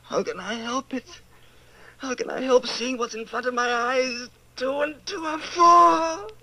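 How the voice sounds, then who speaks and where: An actor playing a broken, pleading prisoner under torture in an old-time radio drama, anguished and faltering. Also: male voice, very high voice, unhurried, bright, forceful tone, full character voice, poor audio. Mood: desperate pleading.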